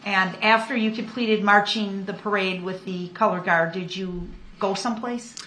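A woman's voice: mid-range voice, steady tone, moderate pace, sharp tone. An American woman speaking as a prosecutor, formally questioning a witness in a courtroom. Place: indoor courtroom. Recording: echoey courtroom with faint noise.

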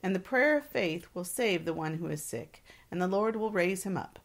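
A woman reads devotional text and scripture as a co-host. Her voice sits a little low and carries a noticeable gravelly creak. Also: lively intonation, moderate pace.

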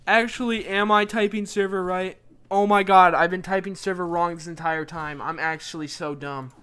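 Excitable young man streaming a game. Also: high voice, clear, resonant tone. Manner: excitable, rambling, self-mocking, quick laughs. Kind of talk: casual live gameplay commentary.

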